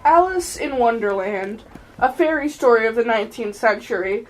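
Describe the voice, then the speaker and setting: A woman's voice: on the high side, expressive, moderate pace, soft background music, poor audio. A female amateur announcer reading scripted running narration in a radio play.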